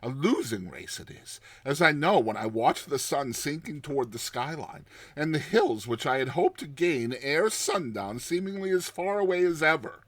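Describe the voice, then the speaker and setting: Horror storybook role, man acting: haunted man near madness, intense, drawn-out delivery, higher-set voice, very dry close sound. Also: animated, a bit bright, no echo. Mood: desperate dread. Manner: intense and dramatic, drawing out words. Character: haunted, terrified man recounting a recurring nightmare, near madness. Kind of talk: dramatized horror short story reading.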